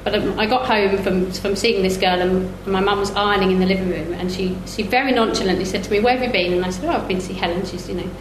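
A woman's voice: medium pitch, steady tone, quick pace, few pauses. A middle-aged woman telling personal stories on a live panel, candid and wry. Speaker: panellist, team sports athlete. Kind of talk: personal storytelling at a panel talk. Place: live festival panel in a roomy venue. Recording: echoey room with clear background noise.

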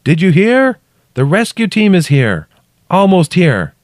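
Anxious male companion man acting, high urgent shouts, projected, audiobook story, dry close sound. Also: very animated, unhurried, no room sound. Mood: urgent reassurance.

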